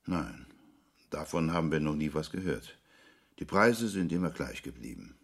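An actor playing a placid, taciturn villager in a radio drama, with a gruff, husky voice and a stolid, laconic manner. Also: male voice, extremely low pitch, expressive, normal speed, exaggerated voice. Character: taciturn, placid male country villager in a tavern.

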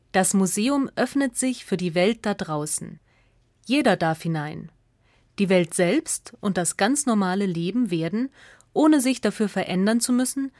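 Female essay narrator for radio, medium pitch, close dry sound. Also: a little mellow, no room sound.

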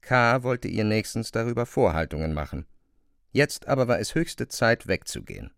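A man's voice: on the low side, big pitch swings, normal speed, vocal fry. A man narrating a classic novel as scripted literary prose.

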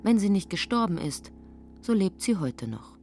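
A woman's voice: mid-range voice, very animated, moderate pace, creaky, a little mellow, soft background music. A woman narrating a literary radio drama in a plain, matter-of-fact way.

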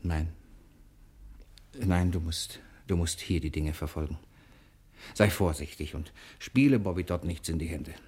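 A low, slightly rough-edged male voice for a charming rogue on the run. He is assured and optimistic, talking soothingly and persuasively in the style of a 1960 German radio play. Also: measured pace, long pauses, darkish tone, heavily acted. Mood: confident, hurried. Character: charming fugitive husband, confident, optimistic, quick-witted rogue.

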